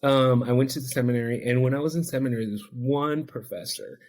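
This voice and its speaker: A man's voice: mid-range voice, a little fast, darkish tone. A man whose first language is Spanish, an addiction counselor telling his own story as a podcast guest in an earnest, heartfelt, reflective way. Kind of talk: podcast interview, personal storytelling.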